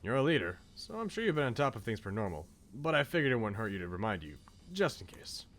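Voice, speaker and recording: A man voicing a composed, cautious, bookish young man in a visual novel, polite and measured. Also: fairly low pitch, very animated, a bit bright, soft background music. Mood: calm cautious.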